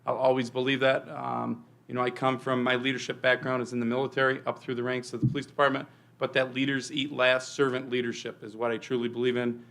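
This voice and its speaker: Fast-talking gravelly police chief. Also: male voice, medium pitch, flat delivery. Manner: speaks loudly without a microphone, filler-heavy. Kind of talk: presentation to a village board. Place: meeting room.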